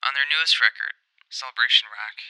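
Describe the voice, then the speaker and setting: A man in his twenties reading a scripted music review essay in a measured, articulate, reflective way. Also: mid-range voice, pushed and bright, smooth, no room sound, poor audio. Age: 20s.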